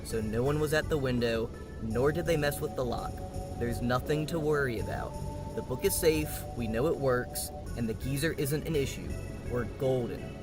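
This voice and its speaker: A man's voice: medium pitch, normal speed, over music, natural voice. A man playing a cocky, dismissive young athlete, slangy and domineering, in an audio drama. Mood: stern, domineering. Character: cocky, dismissive young athlete bullying his nervous teammate.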